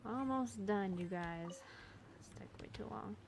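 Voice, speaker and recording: A woman's voice: medium pitch, unhurried, vocal fry, noisy background. A woman giving casual gameplay commentary, muttering to herself and exclaiming in exasperation as she plays. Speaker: gamer recording a playthrough.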